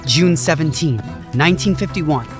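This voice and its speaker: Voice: medium pitch, lively intonation, normal speed, over music, close to an everyday voice. An actor playing an eager hiker who recites grim trail history with dramatic relish, in an audio drama. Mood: gleefully ominous.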